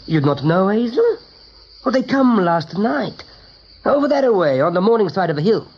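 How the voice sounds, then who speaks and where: A man's voice: high voice, big pitch swings, normal speed, heavily acted. A man playing a friendly, folksy little field animal in a radio play, speaking foreign-sounding broken English. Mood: friendly, chatty. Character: chatty, friendly little male field animal, rustic and grateful. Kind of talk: radio drama acting. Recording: dull 1980s radio recording.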